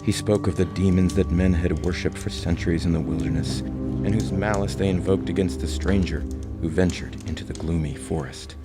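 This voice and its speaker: A man narrating a classic tale in a dramatic storybook style, with a gravelly voice. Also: deep voice, fairly even intonation, moderate pace. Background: music.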